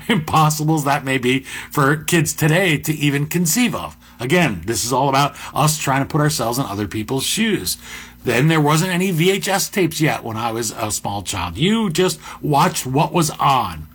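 Deep-voiced male host, musing. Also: a bit bright, husky. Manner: conversational, musing, with asides and restarts. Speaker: podcast host. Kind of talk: reflective monologue.

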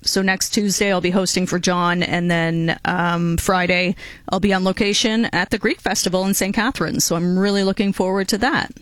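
A woman's voice: lowish voice, steady tone, moderate pace, no room sound. A woman hosting a radio show, chatting casually with a co-host and laughing often. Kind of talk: casual on-air chat.

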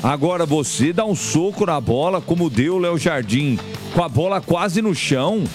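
A man's voice: fairly high pitch, expressive, normal speed. A Brazilian man hosting a football radio show, giving emphatic, colloquial opinions in a chatty commentary style. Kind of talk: opinionated sports commentary and chat. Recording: music bed under broadcast voice. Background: music.

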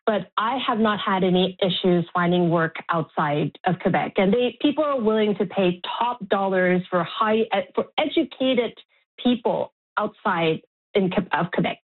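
Over a muffled, narrow phone line, a middle-aged woman gives her views to a radio show. She is frank and emphatic, speaking off the cuff and repeating words to press a point. Her pitch sits in an ordinary range for a woman. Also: normal speed. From Montreal, Canada. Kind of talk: spontaneous opinionated phone-in talk.

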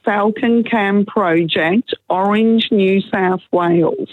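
A hoarse woman calls in to a radio show and talks slowly, earnest and upset, rambling as she goes. Her voice comes through a thin, tinny phone line. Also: mid-range voice. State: hoarse from a cold. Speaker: caller. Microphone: telephone.

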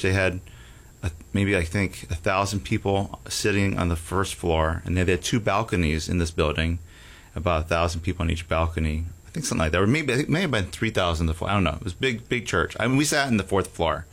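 Male guest, very low pitch, halting conversational testimony. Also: fairly bright tone. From Houston, Texas. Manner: halting, with fillers and restarts. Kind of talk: casual testimony interview.

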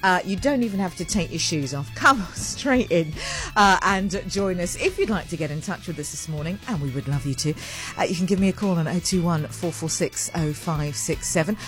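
A woman hosting a live morning radio show in a studio, chatty and rambling, her voice sitting lower than usual after a late night. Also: lively intonation, fairly quick, faint music behind. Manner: rambling, warm and self-mocking, with laughs. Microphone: large studio mic with a fluffy cover.

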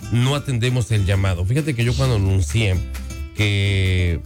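Mexican guy hosting a radio interview, chatty delivery, kinda low voice, music playing under him. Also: normal speed. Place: radio studio. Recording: music clearly audible behind.

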